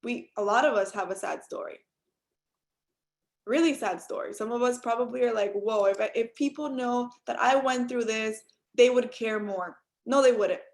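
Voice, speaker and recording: A woman's voice: a little high, normal speed. A young adult woman from Cuba giving an earnest motivational talk on a group call, speaking straight to her listeners. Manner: earnest, direct, addresses listeners as you all. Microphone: call audio.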